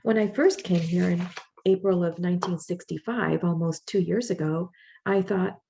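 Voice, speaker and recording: A woman voicing a young immigrant mother in labor, reading her thoughts in the first person in a plain, gently rueful way. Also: lowish voice, fairly even intonation, normal speed, creaky, slight background noise. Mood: reflective.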